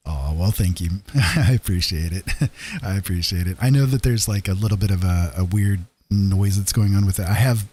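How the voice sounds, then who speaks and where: A man with a deep voice talking casually and quietly to livestream viewers, at home on a podcast microphone. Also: moderate pace. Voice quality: deep, husky. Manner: soft-spoken, holding his voice down so as not to wake someone. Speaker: livestreaming digital artist, former radio talk show host. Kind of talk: casual chat with stream viewers. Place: room at home. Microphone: podcast microphone through a mixer, at a desk.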